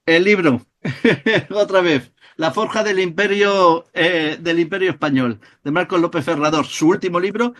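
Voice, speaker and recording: A man's voice: high voice, a little slow, a bit bright. A man with a Spain Spanish accent hosting a podcast, friendly and chuckling as he thanks guests and listeners. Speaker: host. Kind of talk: casual podcast chat and sign-off.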